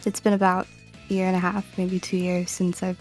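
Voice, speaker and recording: A twenty-five-year-old woman, a behavioral therapist, introducing herself casually and slowly. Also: fairly low pitch, completely flat delivery, faint music behind, poor audio.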